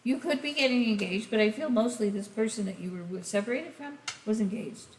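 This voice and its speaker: Hoarse woman in an echoey room. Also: fairly high pitch, a little fast. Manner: rambling, speaks straight to the listener. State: hoarse, losing her voice. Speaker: tarot reader. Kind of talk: casual channeled tarot reading. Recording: echoey room, faint hiss.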